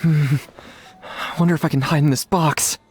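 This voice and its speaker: An actor playing a cowardly, scatterbrained young gang member in a video game, talking in a rambling, slangy way. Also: male voice, on the high side, steady tone, moderate pace, darkish tone, slightly rough, soft background music. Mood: nervous relief. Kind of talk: animated video game voice acting.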